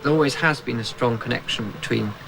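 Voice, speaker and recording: A man's voice: medium pitch, fast talker, creaky. A young man, a new army officer, giving formal, hesitant interview answers while searching for words. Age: young adult. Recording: noisy field recording.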